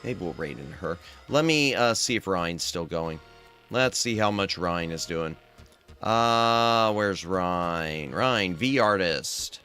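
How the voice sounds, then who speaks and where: A man's voice: low-pitched, expressive, takes it slowly, bright, forceful tone, clean tone, faint music behind. A man livestreaming, tired and straining his throat, chatting casually in a playful, rambling way and humming to himself. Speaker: streamer.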